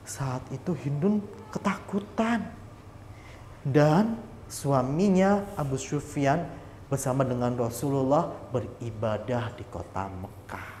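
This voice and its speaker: Animated woman storyteller for children. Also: a bass voice, slow pace, a little hiss or hum. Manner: animated, addresses young listeners directly. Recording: echoey hall reverb.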